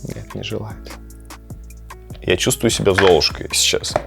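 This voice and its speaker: A man's voice: fairly low pitch, steady tone, measured pace, vocal fry, over music. A man hosting a gaming and cooking vlog, chatting casually and playfully, sometimes to his cat. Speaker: host of a gaming and cooking channel. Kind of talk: casual chat to camera with gameplay reactions.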